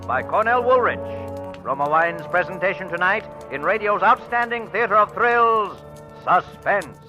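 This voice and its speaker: A man's voice: sits high, lively intonation, measured pace. A man announcing a 1940s radio suspense show in a dramatic, formal scripted style. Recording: dull vintage radio broadcast, music behind.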